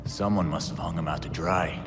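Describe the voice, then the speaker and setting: Game vigilante, male actor: young man, gruff and blunt, very low pitch, dry sarcasm, long reverb. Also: steady tone, a little fast, lightly acted. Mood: grim, dry. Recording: long reverb under music.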